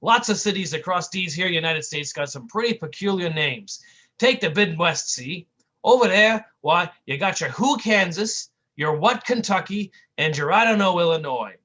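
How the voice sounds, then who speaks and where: A bullying, short-tempered comedy tough guy, voiced by a man, snapping orders in old-timey street slang. His delivery is lively, and his voice sounds bright and sharp. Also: high-pitched, normal speed, exaggerated voice. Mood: gruff, snappy. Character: gruff bullying male slapstick partner.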